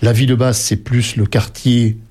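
A man's voice: medium pitch, level tone, unhurried, a little mellow. A man hosting a radio interview, chatty and eager, often breaking in. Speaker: radio host. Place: radio studio.

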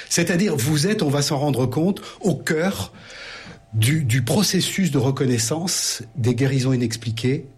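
A man's voice: mid-range voice, husky. A man interviewing a doctor, asking long, courteous, winding questions. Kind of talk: formal interview.

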